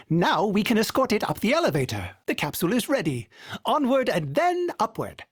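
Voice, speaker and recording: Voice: mid-range voice, husky, dry recording, studio quality. An actor voicing a cheerful, fussy male ship AI who speaks formally, calls himself by name and stutters with glitchy repeats. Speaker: ship companion AI. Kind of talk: video game voice lines.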